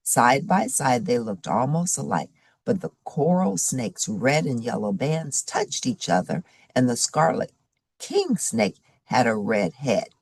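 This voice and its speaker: Very low, gravelly woman reading a children's story. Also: unhurried, no echo. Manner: animated storytelling with varied character voices. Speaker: story reader. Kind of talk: expressive read-aloud of a children's chapter book.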